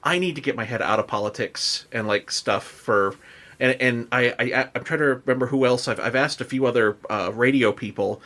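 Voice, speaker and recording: A man hosting a casual chat, working his way through questions in a halting, rambling way with repeated words. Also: mid-range voice, pushed and bright. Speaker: podcast host, interviewer.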